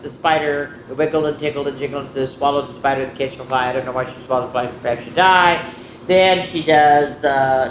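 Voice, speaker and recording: A woman hosting a children's story time, reading picture books aloud in a playful, chatty way with rambling asides to her viewers. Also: low voice. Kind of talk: informal picture-book read-aloud and show-and-tell to children. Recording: echoey room with clear background noise.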